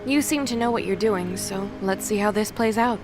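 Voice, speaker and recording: A woman's voice: mid-range voice, normal speed, over music, lightly acted. A woman playing a stoic young seer and warrior goddess, wry, guarded and nonchalant, in an audio drama. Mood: wry, casual.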